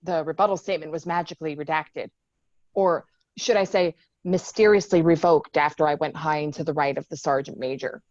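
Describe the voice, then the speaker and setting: Woman playing tough sarcastic female marine fighting back, lowish voice, dull dry audio, script read drama. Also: steady tone, a little fast, a little mellow, dry recording, natural voice. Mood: bitter sarcasm. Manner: sarcastic, emotional. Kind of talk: screenplay table read acting.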